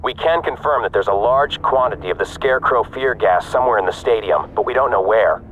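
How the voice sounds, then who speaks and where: An actor playing a businesslike police officer reporting over a radio in a video game. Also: male voice, lowish voice, expressive, brisk. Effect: radio filter. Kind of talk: video game cutscene acting. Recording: tinny radio band, faint noise.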